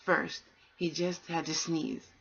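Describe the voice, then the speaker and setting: A woman's voice: low voice, slow pace, vocal fry, fairly bright tone, a little hiss or hum. A woman reading and hosting a children's bedtime story, with a nurturing, encouraging way of addressing young listeners. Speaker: bedtime story reader and host. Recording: dull, lo-fi home recording.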